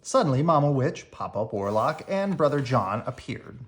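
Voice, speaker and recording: Animated storybook reader with swooping intonation. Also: mid-range voice. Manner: animated storyteller with dramatic emphasis and drawn-out words. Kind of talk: expressive children's read-aloud narration.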